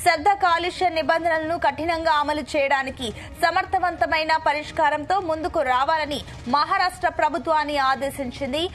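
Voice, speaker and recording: A woman news presenter reading a scripted report in a formal, measured manner. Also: high-pitched, fairly even intonation, fairly bright tone. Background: music bed.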